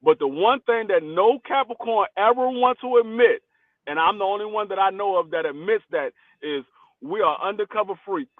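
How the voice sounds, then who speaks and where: A man in his forties chatting casually on a podcast, telling stories in blunt slang with animated energy. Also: sits high, normal speed, dry recording. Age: 40s. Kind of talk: casual chat. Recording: tinny phone-line sound, dry.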